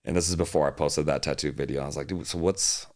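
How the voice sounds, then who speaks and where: A man's voice: a bass voice, fairly even intonation, fast, a little mellow. A young man from Hawaii, a fitness coach, chatting easily as a podcast guest and laughing often. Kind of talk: casual interview chat.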